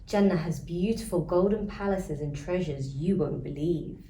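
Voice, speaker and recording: A woman voicing a kindly, reassuring grandmother in a children's storybook, with a gentle storytelling manner. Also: lowish voice, normal speed, close to an everyday voice. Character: kindly, reassuring grandmother telling twins about paradise. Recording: roomy echo, faint background noise.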